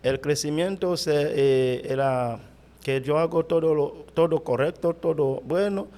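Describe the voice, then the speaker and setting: Haitian pastor, halting Spanish, mellow voice. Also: male voice, on the high side. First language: Haitian Creole. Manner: halting, searching for words in Spanish. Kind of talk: interview answers.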